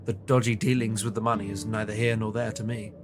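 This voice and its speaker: An actor playing an observant, wry Victorian detective in an audio drama, with a dry, precise, theatrical delivery. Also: male voice, lowish voice, flat delivery, fast, close to an everyday voice. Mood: analytical, serious.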